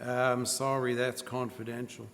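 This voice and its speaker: A man's voice: medium pitch, little pitch movement, a little slow, vocal fry, fairly bright tone. A man playing an affable, fussy, arthritic insurance agent in old-time radio drama style. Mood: businesslike, guarded. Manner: hearty, fussy, repeats himself. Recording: live stage, reverberant church hall.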